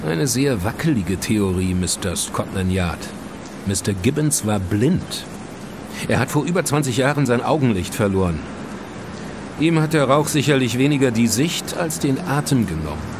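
An actor playing a grudging, matter-of-fact police inspector in a German crime audio drama, dry and businesslike. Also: male voice, medium pitch, animated, moderate pace, background noise, close to an everyday voice. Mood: prickly, disdainful. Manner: dry, businesslike, disdainful toward outsiders.

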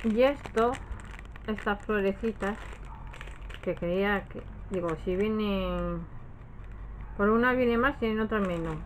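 Woman, Spain Spanish accent, unhurried rambling haul-vlog chat, faint background noise. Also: medium pitch, rough recording. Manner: rambling, often trailing off and self-correcting. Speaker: craft haul vlogger. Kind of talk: casual chat, showing purchases to camera.

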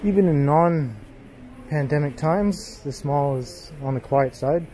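A man giving an unhurried, casual running commentary while walking through an indoor mall, filmed on a handheld camera. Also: a little high, slight background noise. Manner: relaxed, unhurried commentary with pauses. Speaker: walking tour vlogger. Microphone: handheld gimbal camera.

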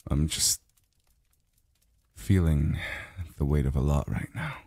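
An extremely low, husky, breathy male voice, put on by a man for an intimate ASMR roleplay as a tired, tender immortal lover. He murmurs very slowly and haltingly, with soft sighs. It is close and dry on the mic. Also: lively intonation, no room sound, heavily acted. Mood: weary, reluctant. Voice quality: very deep, gravelly, breathy. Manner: halting, murmured, sighing delivery. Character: weary, tender adult male immortal being soothed by a lover. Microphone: close dry microphone.

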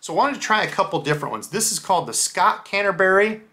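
A man in his thirties talks through fishing gear in a relaxed, chatty way, full of little fillers. His voice sits a bit high for a man and sounds bright and forward. He is recorded close up at a desk in a small room. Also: fast, slight background noise. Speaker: fishing channel host. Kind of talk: casual lure unboxing review. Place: small indoor room with lures on the wall.

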